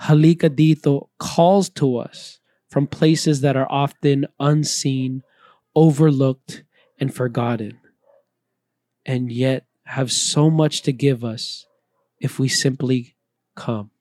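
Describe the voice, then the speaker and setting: A man reading a scripted, reflective personal talk in an earnest, measured way. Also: medium pitch, fairly even intonation, mellow, no echo. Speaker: missionary speaker.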